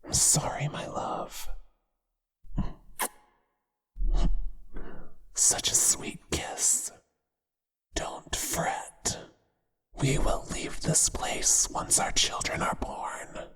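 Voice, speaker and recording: A performer voicing a possessive, obsessive male spider monster, tender toward his mate and quietly menacing, in a breathy whisper for a horror ASMR roleplay. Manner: slow, deliberate, menacingly affectionate. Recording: hushed whisper with long reverb.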